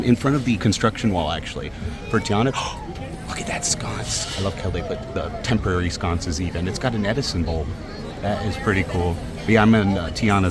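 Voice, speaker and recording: Soft-spoken man vlogging in a crowded park. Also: lowish voice. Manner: chatty, rambling, frequent soft laughs. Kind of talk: casual vlog chat. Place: crowded theme park outdoors. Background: loud crowd noise and park music.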